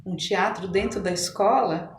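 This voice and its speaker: A woman's voice: mid-range voice, fairly even intonation, measured pace. A woman in her sixties with a Brazilian Portuguese accent reminisces as an interview guest, often adding little tag questions. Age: 60s. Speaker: podcast guest. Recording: echoey room with clear background noise.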